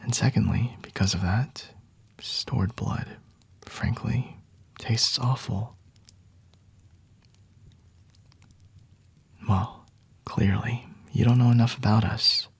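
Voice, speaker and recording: A man playing a smug, flirty vampire in a whispered ASMR roleplay, teasing and condescending with a breathy, husky delivery. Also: medium pitch, steady tone, slow pace, dry recording, heavily acted. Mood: condescending, amused. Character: flirty, smug male vampire toying with a captured hunter.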